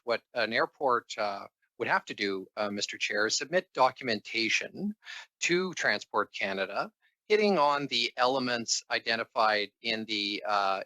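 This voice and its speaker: Male civil servant at a hearing; bright, close dry sound, careful and deferential, hesitant repeated phrasing. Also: lowish voice, dry recording, low-quality recording. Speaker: assistant deputy minister, government official. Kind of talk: formal testimony to a committee.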